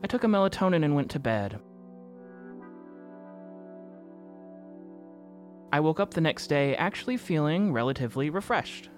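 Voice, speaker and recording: A man's voice: on the high side, big pitch swings, fast, faint music behind. A man playing an anxious narrator who confesses to a reality-bending game, in an earnest, reflective first-person audio drama monologue. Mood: reflective, uneasy.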